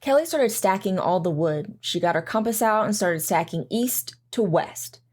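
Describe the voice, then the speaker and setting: A woman's voice: mid-range voice, animated, moderate pace, natural voice. A woman playing a flustered, self-doubting mother who narrates and talks in an audio drama. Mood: reflective narration.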